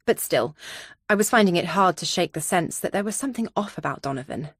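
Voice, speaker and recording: A woman's voice: fairly low pitch, lively intonation, a little fast, husky, no echo, clean studio sound. A woman reading scripted first-person thriller narration as an audiobook narrator.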